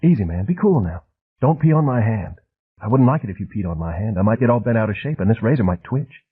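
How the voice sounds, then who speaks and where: A man voicing a jittery, menacing middle-aged thug with a soft, almost feminine voice and a hint of a refined Southern accent, jabbering fast on a muffled cassette recording. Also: fairly low pitch, lively intonation, husky. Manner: jabbering quickly, as if on speed. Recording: muffled, phone-like old cassette audio.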